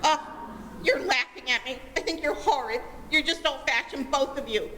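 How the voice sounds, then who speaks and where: An actress playing a dreamy, melodramatic teenage daughter in love, in an old-time radio comedy. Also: female voice, high voice, normal speed, pushed and bright. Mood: indignant, wounded. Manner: gushing, earnest, dramatic. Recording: reverberant hall, faint noise.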